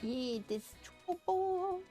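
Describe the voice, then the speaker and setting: A woman's voice: very high voice, animated, slow pace, dark tone. A woman live streamer chatting casually and playfully while she plays a game. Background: game music.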